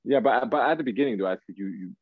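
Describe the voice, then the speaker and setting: A man's voice: mid-range voice, very fast, breathy edge, no echo. A man, chatting casually as an interview guest, slangy and often checking that he is followed. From Canada, raised in Toronto. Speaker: guest, R&B singer. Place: indoor room. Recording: dull, lo-fi video call.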